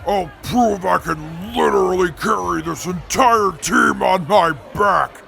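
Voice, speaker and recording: A man voicing a huge, boastful strongman with a boisterous, gravelly manner in an audio drama. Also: sits high, takes it slowly, pushed and bright, soft background music, heavily acted.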